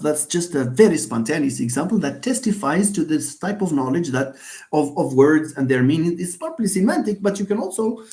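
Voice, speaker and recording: A man's voice: fairly high pitch, darkish tone. A man giving a linguistics lecture in a classroom. He asks questions and then answers them himself.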